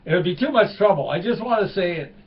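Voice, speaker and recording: A man's voice: high voice, animated, quick pace, recorded from a distance, voice pushed up. A man playing a touchy Quebecer tourist, choppy English, lo-fi sound. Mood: exasperated. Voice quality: broken English. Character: excitable Quebecer man, touchy about country.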